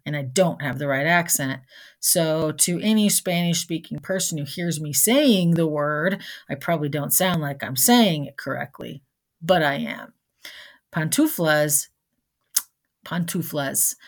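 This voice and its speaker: A woman with a low-pitched voice presents a scripted vocabulary lesson. She enunciates single words with care and slips in conversational asides as she teaches. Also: gravelly. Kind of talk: scripted educational narration.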